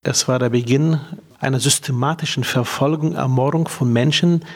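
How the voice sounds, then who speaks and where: A man's voice: medium pitch, steady tone, moderate pace. A man in his forties from Berlin, presenting and asking prepared interview questions in German. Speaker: interviewer, politician. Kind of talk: scripted introduction and interview questions.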